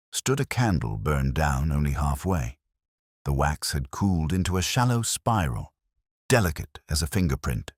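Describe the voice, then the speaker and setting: A man reading first-person mystery narration in a measured, brooding, literary manner. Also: very deep voice, dry recording, clean studio sound.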